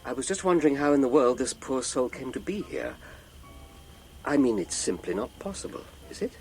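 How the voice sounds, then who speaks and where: An actor playing a shy, unworldly little priest in a radio drama, speaking in a hesitant, musing way. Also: male voice, medium pitch, lively intonation, brisk, creaky, a little mellow, faint music behind. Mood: musing, puzzled. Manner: hesitant, musing, tag questions.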